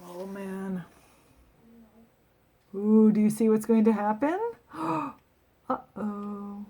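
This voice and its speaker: A woman reading a picture book aloud to children like a teacher, expressive and emphatic, with asides to her listeners. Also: medium pitch, very slow, slight background noise.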